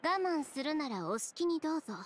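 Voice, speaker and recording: A woman's voice: sits high, big pitch swings, brisk. An actress playing a proud, headstrong young female fashion designer in a game, speaking in a curt, composed, firm way. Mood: defiant. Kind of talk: Japanese game voice acting.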